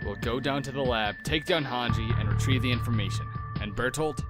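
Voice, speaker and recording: A man's voice: mid-range voice, a little fast, lightly acted. A man playing a commanding, ruthless saboteur leader in an audio drama, terse and authoritative. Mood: grimly determined. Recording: loud music behind.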